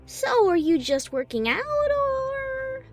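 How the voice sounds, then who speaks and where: A man's voice: extremely high pitch, big pitch swings, slow, soft background music, voice pushed up, full character voice. A man reading a fanfic, voicing a wry young female pony in a raised voice. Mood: teasing. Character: young female unicorn mare, breezy and wry.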